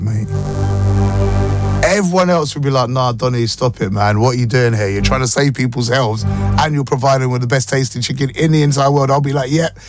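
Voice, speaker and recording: A man hosting a morning radio show, telling jokey stories in a casual, slangy, excitable way, his voice a little croaky. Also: medium pitch. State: slightly croaky voice. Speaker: radio breakfast show host. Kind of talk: casual chatty storytelling. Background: background music.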